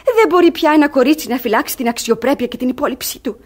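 A crafty young ward in a classic radio theatre comedy. Her voice sits high and moves in wide, expressive sweeps. She sounds artfully indignant and coaxing, every phrase staged as wounded innocence. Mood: plaintive protest.

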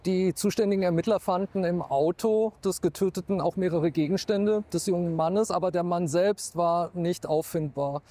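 A man's voice: sits high, normal speed, hardly any pauses, mellow. A man in his thirties or forties, a true-crime TV expert, retelling a case factually on camera outdoors into a lapel mic. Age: 30s or 40s. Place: outdoors in town.

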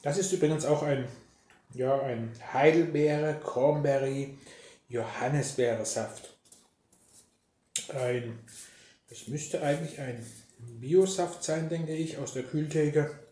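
Slow-talking man in an echoey room. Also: a little high, warm tone. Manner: unhurried, with pauses mid-sentence. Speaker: host. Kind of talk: casual ASMR role-play chat to the listener. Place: indoors at a breakfast table. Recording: roomy, echoey sound with faint noise. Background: clinking dishes and clicks.

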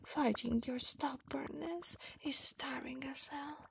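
A woman plays a centuries-old slime wife in an ASMR roleplay, clingy and teasing. She speaks slowly in an intimate half-whisper that often crackles into fry. There is clear noise behind the close-up voice. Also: high voice, pitched above the performer's own voice, full character voice. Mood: clingy, playful. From Italy. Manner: soft, breathy half-whisper, intimate, coaxing.

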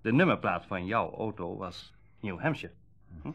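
Low-voiced, musing middle-aged car dealer. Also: male voice, animated, moderate pace, gravelly, a bit bright, exaggerated voice. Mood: confiding. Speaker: actor in a radio drama. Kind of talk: Dutch radio play acting, naturalistic dialogue.

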